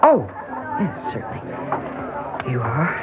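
A woman's voice: extremely low pitch, wildly swooping pitch, slow, loud music behind the voice, heavily acted. An actress playing a polite, earnest young female welfare worker, persistent but hesitant, in a 1960s radio drama. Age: young adult. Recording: muffled vintage 1960s radio recording.

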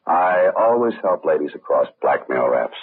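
A man's voice: very deep voice, very animated, normal speed, breathy edge, full character voice. A man playing a wry, cool, chivalrous writer out for adventure in a 1940s-style radio drama. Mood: wry, guarded. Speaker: lead actor and narrator. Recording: muffled, narrow-band old radio with music.

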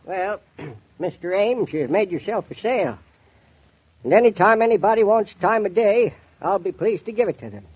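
A high, raspy old country man in a 1940s radio drama, speaks with a rural American accent. He is crusty, thrifty and nostalgic, musing in a folksy drawl on a muffled vintage recording. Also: animated. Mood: pleased. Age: older. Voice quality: husky. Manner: folksy, grumbling, drawn-out musing.

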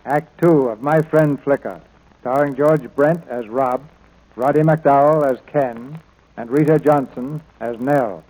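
A man's voice: medium pitch, unhurried, darkish tone, low-quality recording. A man giving dramatic, scripted narration in 1940s radio style. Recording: old radio broadcast, music behind.

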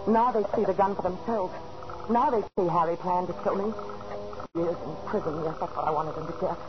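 An actress playing a frightened, scheming wife who narrates anxiously in the dramatic style of a 1940s radio thriller. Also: female voice, on the low side, brisk, noisy background, natural voice. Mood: tense, brooding. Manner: anxious, dramatic first-person delivery. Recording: muffled old 1940s radio recording, organ music.

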